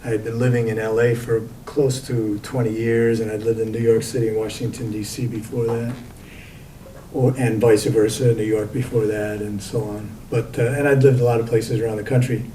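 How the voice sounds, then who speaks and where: An older man speaks as a guest poet, relaxed and reminiscing. His voice is mellow and sits a bit back from the mic, with faint noise around it. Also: fairly low pitch, moderate pace, distant mic, poor audio. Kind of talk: interview answers.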